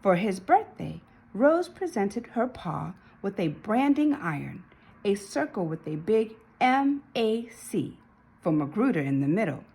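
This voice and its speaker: A woman reading a children's story aloud in an expressive way, with dramatic emphasis and drawn-out words. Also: medium pitch, creaky, husky. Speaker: storybook reader.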